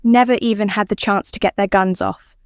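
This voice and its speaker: Grave, reluctant military man for audio fiction, voiced by a woman, clear delivery, dry close sound. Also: mid-range voice, fairly even intonation, fairly quick, no echo, lightly acted. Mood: grave, troubled.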